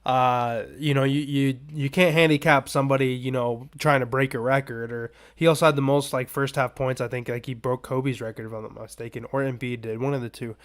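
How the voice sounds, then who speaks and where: Casual male podcast host, slightly raspy voice. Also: medium pitch, fairly even intonation, a little fast, hardly any pauses. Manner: rambling, loose, filler-heavy asides. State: under the weather, coughing. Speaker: sports betting podcast host. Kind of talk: casual unscripted betting picks talk.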